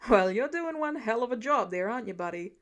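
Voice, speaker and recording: A cocky superhero archer as a woman reader acts him out in a fan fiction story. He is a teasing male heckler, sarcastic and goading, with very animated intonation. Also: a little high, a little fast, close to an everyday voice.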